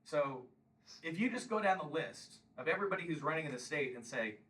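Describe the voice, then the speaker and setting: A man's voice: a little high, expressive, brisk, bright, forceful tone, recorded from a distance, low-quality recording. A man in his fifties giving a combative campaign speech and taking questions at a local meeting, often pressing "Okay?". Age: 50s. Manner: combative, emphatic, repeats "Okay?". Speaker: congressional candidate.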